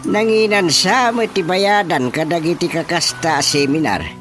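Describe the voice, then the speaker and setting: A man's voice: a little high, normal speed, a bit bright, lightly acted. A man with a Filipino accent acting an easygoing village husband in a radio comedy serial. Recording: music behind the voice.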